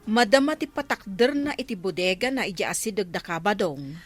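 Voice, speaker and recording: A woman plays a chatty Ilocano neighbour in a Filipino village comedy. Her pitch moves animatedly, and the recording is dry and close with faint music behind. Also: expressive, fairly quick, dry recording, close to an everyday voice. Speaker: actress in a comedy drama. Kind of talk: Ilocano barangay comedy drama dialogue.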